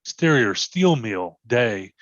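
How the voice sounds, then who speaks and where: A man's voice: mid-range voice, sing-song, slow, fairly bright tone, dry recording, lo-fi sound. A man reading stage directions as scripted narration for a readers theatre.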